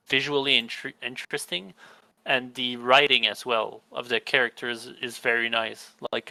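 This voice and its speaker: A man's voice: mid-range voice, sharp tone, no room sound, poor audio. A young man hosting a gaming podcast, describing games in a rambling, casual way with many restarts. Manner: rambling, restarts mid-sentence. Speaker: podcast host. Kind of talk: casual chat.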